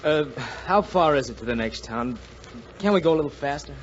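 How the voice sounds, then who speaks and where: An actor playing a polite, anxious young soldier and husband, hesitant and earnest in a 1940s radio drama style. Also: male voice, on the high side, lively intonation, a little fast, lightly acted. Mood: earnest, tender. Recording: dull, noisy 1940s radio recording.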